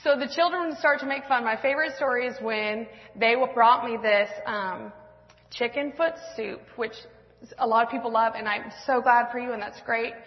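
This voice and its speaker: A woman's voice: fairly high pitch, normal speed. Woman in her 30s, church testimony speaker, rambling and self-mocking, muffled lo-fi hall sound. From Washington State, US West Coast. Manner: self-deprecating, laughing often, rambling with fillers. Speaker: speaker at a church women's event. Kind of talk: personal testimony talk with slides.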